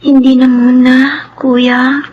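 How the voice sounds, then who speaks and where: High girlish voice, slow delivery, plaintive, hesitant, frail schoolgirl, radio drama. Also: completely flat delivery, husky, muffled recording, exaggerated voice. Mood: weary, subdued. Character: sickly, timid schoolgirl daughter, tearful and pleading. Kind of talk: Filipino radio drama acting.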